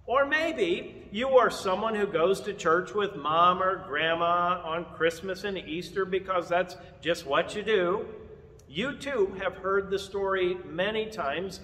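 An older man preaching an Easter sermon from a lectern in a large church, earnest and measured. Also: high-pitched, bright, forceful tone. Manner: measured, earnest preaching with open-hand gestures. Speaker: pastor. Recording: long reverb of a big church hall.

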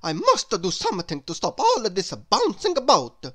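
A woman's voice: mid-range voice, wildly swooping pitch, moderate pace, a bit bright, pitched above the performer's own voice. A woman voicing a small, hapless, bouncy male ball creature in a children's storybook, exclamatory and dramatic. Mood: fed up, resolved.

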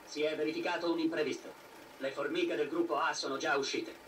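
A man's voice: a little high, fairly even intonation, brisk, fairly bright tone, lightly acted. A man playing a cold, villainous alien officer in a dubbed 1970s anime. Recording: old film soundtrack, distant, faint noise.

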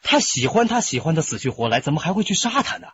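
A man's voice: a little high, fast talker, a little hiss or hum. An actor playing a talkative young rural man who defends his cousin under questioning. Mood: chatty. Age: young adult. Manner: chatty, animated, defensive. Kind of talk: broadcast radio drama acting. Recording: dull lo-fi broadcast audio.

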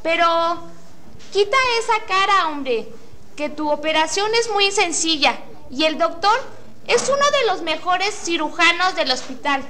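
Woman acting very high, bright young nurse. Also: animated, measured pace, exaggerated voice. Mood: brisk, reassuring. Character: young, brisk, reassuring female hospital nurse. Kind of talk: student radio drama acting. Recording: faint noise, amateur radio drama recording.

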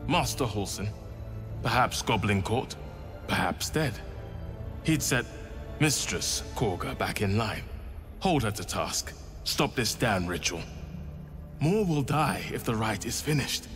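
A man's voice: lowish voice, animated, slow pace, pauses often, fairly bright tone. An actor playing an anguished, devout druid man in a fantasy game, earnest, pleading and solemn. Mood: worried, indignant. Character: anguished, devout male druid, loyal to absent master. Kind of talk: dramatic fantasy game acting. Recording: long reverb with music behind.

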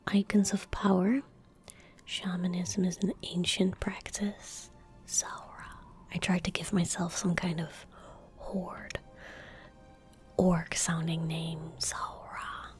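A woman in her twenties speaking in a breathy, gentle whisper close to the mic, giving unhurried ASMR commentary as she plays a game. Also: on the low side, little pitch movement, soft background music. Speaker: ASMR gaming streamer. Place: indoor room at a desk. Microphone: close desk microphone.

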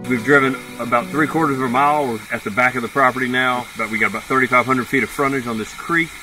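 A man's voice: mid-range voice, fairly even intonation, fast talker. A middle-aged man casually showing a wooded property outdoors, upbeat and informal like a salesman. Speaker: real estate agent. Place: outdoors in woods by a creek. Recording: distant outdoor camera audio with guitar music.

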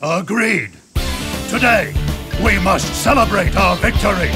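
An actor playing a loyal warrior lord in a war video game, speaking formally and earnestly with dramatic weight. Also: male voice, high-pitched, a little slow, music in the background. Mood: reverent.

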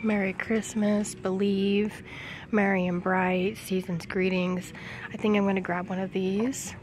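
A woman's voice: mid-range voice, flat delivery, a little mellow. A woman in her thirties giving casual item-by-item vlog commentary while shopping, filmed on a handheld camera. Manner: unhurried, item-by-item commentary with pauses. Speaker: vlogger. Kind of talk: casual shop-with-me vlog narration. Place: retail store aisles, some in a parked car. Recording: clear background store noise and ambience.

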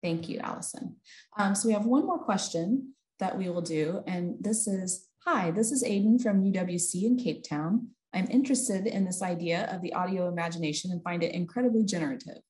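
Lowish-voiced woman moderating online. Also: brisk, a little mellow. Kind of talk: moderating questions, reading aloud. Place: office with wooden doors, indoors. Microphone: video call computer mic.

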